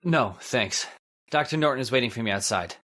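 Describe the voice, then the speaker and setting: A man's voice: mid-range voice, animated, brisk, pushed and bright. A man playing a placating, controlling husband in old-time radio horror, soothing but firm and theatrical.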